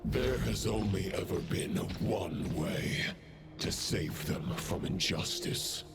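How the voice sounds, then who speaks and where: An actor playing a sinister ancient tempter who preaches despair in a solemn, ominous, gravelly game monologue. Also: male voice, deep voice, animated, heavily acted. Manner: slow, ominous, portentous. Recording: loud music under the voice.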